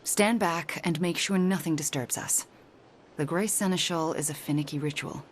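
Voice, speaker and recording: An actress playing a focused, assured sorceress in a video game, instructive and matter-of-fact. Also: female voice, deep voice, moderate pace, slight background noise, natural voice. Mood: focused, grave.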